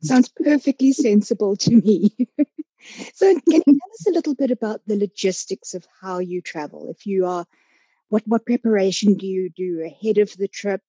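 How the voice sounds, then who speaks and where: Mellow woman podcast host. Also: mid-range voice, moderate pace, breathy edge, no room sound, highs cut off. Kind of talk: conversational interviewing.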